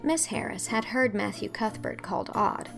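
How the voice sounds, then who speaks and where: A woman narrating a classic novel with an even, storytelling delivery. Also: mid-range voice, normal speed, creaky, music in the background. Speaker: audiobook narrator. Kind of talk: scripted narration.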